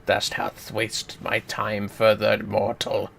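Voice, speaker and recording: Man voicing a slow, haughty ancient dragon. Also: on the low side, completely flat delivery, gravelly, fairly bright tone, slight background noise, exaggerated voice. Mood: disdainful. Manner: slow, pompous, archaic speech.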